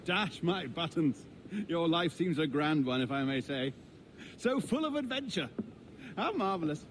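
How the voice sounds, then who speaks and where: A timid, easily impressed gentleman merchant in a game cutscene. His voice sits fairly high, and he speaks with hesitant, gushing courtesy over faint background ambience. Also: lively intonation, unhurried, slight background noise. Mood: admiring. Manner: genteel, hesitant, effusively courteous. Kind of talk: video game cutscene acting.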